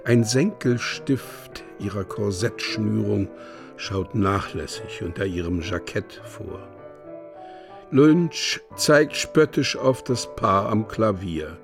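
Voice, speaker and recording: A man narrating a literary radio play from a script in a measured, precisely enunciated way. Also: fairly low pitch, expressive, vocal fry. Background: music.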